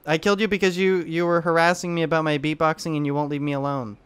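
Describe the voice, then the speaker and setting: A man's voice: a little high, little pitch movement, quick pace, a bit bright, clear, resonant tone, no room sound. A man bantering casually in game voice chat, insistent and mock-aggrieved. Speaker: online game player trolling in roleplay.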